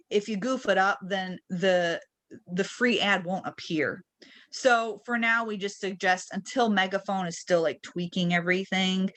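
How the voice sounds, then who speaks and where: A woman training people in an informal, conversational screen-share webinar, explaining each step and posing check-in questions as she goes. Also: medium pitch. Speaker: trainer leading a software webinar. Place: online webinar.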